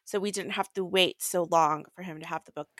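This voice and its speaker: A woman's voice: on the low side, steady tone, fairly quick, a bit bright, no echo. Casual woman podcaster, dry close sound. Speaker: co-host of a book recap podcast. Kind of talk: casual book chat.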